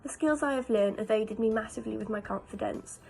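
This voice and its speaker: A woman's voice: on the high side, a little fast, vocal fry, darkish tone, soft background music. A woman giving an earnest, flowing prepared testimonial as a nursery nurse apprentice.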